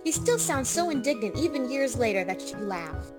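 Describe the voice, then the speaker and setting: A woman's voice: high-pitched, big pitch swings, normal speed. A woman reading scripted second-person narration for a story game. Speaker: narrator and player character reader. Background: music.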